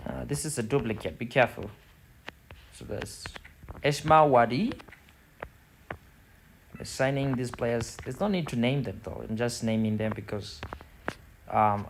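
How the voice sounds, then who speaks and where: A man's voice: mid-range voice, a little hiss or hum, poor audio. A man from Zimbabwe with a Zimbabwean accent gives a casual gaming tutorial, rambling a little and repeating words as he goes. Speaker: gaming video host. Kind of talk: casual tutorial commentary over football game screen.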